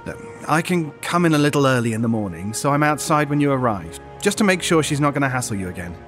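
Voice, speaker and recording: A man's voice: mid-range voice, big pitch swings, fast talker, a bit bright, faint music behind. An actor playing a supportive, concerned male shop colleague in a naturalistic audio drama, helpful and conciliatory. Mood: reassuring.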